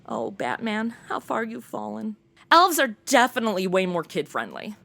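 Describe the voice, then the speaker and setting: Young, sassy modern gal, played by a woman, high voice, huge pitch swings, casual and irreverent comic delivery. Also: big pitch swings, normal speed, creaky, bright, forceful tone, full character voice. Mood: snarky. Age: young adult. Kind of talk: comedic audio drama, modern casual speech.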